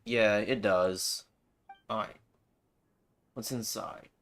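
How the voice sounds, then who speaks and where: A man's voice: lowish voice, fairly even intonation, very slow, fairly bright tone. A man giving casual live commentary as he plays a game, laid-back and muttering reactions to himself. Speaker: game streamer.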